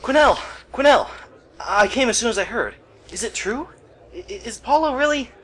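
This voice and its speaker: A man's voice: extremely high pitch, expressive, normal speed, background noise. A man playing an anxious young store clerk in an amateur radio play, earnest, agitated and defensive. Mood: panicked.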